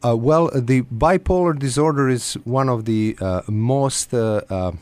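A man with a Croatian accent answering radio interview questions as an expert guest, haltingly and with frequent pauses. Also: mid-range voice, a little mellow, no echo. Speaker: guest psychiatrist and researcher.

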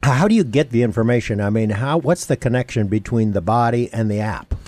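Radio host guy, mid pitch, jokey and curious, dry studio sound. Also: fairly quick, a little mellow, no echo. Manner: joking, curious interviewer. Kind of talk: radio show hosting.

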